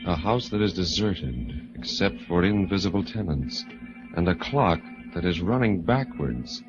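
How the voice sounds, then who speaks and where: Over prominent music on a muffled old broadcast, an ominous radio mystery announcer speaks. He draws his words out slowly and dramatically. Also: sits low, lively intonation. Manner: dramatic, drawn-out delivery. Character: ominous male announcer of a midnight mystery show. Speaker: announcer and narrator. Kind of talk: 1940s radio mystery narration. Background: loud dramatic music.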